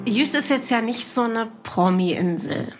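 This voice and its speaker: A woman hosting a podcast in a chatty, informal, gently teasing conversational style. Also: fairly high pitch, lively intonation, unhurried, music in the background. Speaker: podcast host and author. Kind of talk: conversational interview with narrated passages.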